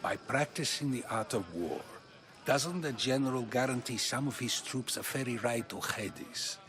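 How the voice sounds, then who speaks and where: An actor voicing a teasing, riddling philosopher in a video game. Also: male voice, medium pitch, normal speed, fairly bright tone, lightly acted. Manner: playful, riddling. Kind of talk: video game cutscene acting.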